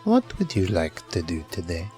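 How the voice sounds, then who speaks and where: A man's voice: deep voice, wildly swooping pitch, normal speed, dark tone, faint music behind. A man playing a romantic, flirtatious French charmer with a courtly, earnest manner in an amateur audio drama. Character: romantic French-speaking male charmer and idle drinker.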